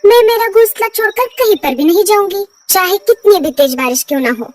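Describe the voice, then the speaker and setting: A woman's voice: very high voice, expressive, a little fast, a little mellow, distant mic. A woman voicing a stubborn, scornful auntie bird who grumbles in a cartoon story. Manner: scornful, complaining.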